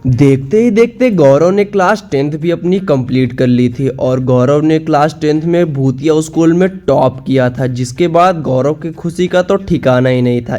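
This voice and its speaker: Mellow male cartoon storyteller. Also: fairly high pitch. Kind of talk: scripted cartoon story narration. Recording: music behind the voice.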